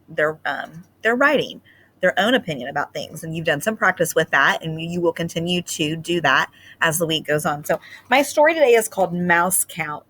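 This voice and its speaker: A woman's voice: fairly low pitch, a bit bright. A woman speaking as a teacher leading a children's read-aloud, explaining simply and reading expressively.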